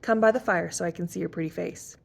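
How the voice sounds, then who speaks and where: A tiny, bustling old fortune teller who coos over everyone and calls them dear, as a woman reader puts her on. Her voice rides a touch higher and louder than the narration around it, with a gravelly grain underneath. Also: fast talker, exaggerated voice. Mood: coaxing. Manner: doting, sing-song, calls everyone dear.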